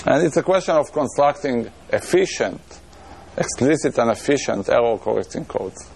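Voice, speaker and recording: A man's voice: mid-range voice, lively intonation, normal speed, vocal fry, a little mellow, slight background noise. A middle-aged man with an Israeli accent giving a lecture in a room, thinking aloud with many restarts and fillers. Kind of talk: academic lecture on math.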